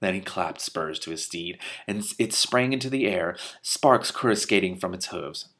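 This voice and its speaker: A man's voice: deep voice, expressive, normal speed, fairly bright tone. A man reading a fantasy novel aloud, breaking in with amused asides. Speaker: reader and commentator.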